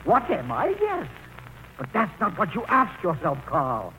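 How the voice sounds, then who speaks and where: An actor playing a pompous older husband who philosophizes in grand, precise words, 1940s radio drama style. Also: male voice, sits high, wildly swooping pitch, measured pace, pushed and bright, exaggerated voice. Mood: lofty, lecturing. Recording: muffled, faintly noisy vintage radio recording.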